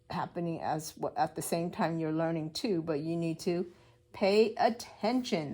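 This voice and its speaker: Lower-pitched woman reading to young children. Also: darkish tone. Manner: instructive, asking simple questions to the listeners. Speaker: preschool teacher. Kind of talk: read-aloud story with commentary for young children.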